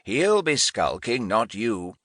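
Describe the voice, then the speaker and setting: A man's voice: fairly low pitch, very animated, takes it slowly, a bit bright, husky, no echo, clean studio sound, pitched above the performer's own voice, heavily acted. A man voicing an irascible grey-bearded English lord and commander in an audiobook, speaking formally and with imperious emphasis. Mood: irritable.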